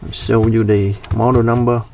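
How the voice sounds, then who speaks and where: A man's voice: lowish voice, little pitch movement, moderate pace, vocal fry, darkish tone, a little hiss or hum. Man giving a DIY tutorial, slightly distant mic. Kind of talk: casual hands-on explainer.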